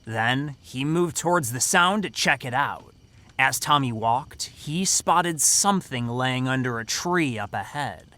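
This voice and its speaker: A man's voice: medium pitch, expressive, unhurried, bright, forceful tone. A man playing a cocky, teasing teenage camp counselor who tells stories dramatically in an audio drama. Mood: suspenseful storytelling. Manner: teasing, dramatic storytelling delivery. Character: cocky teenage summer camp counselor telling a scary story.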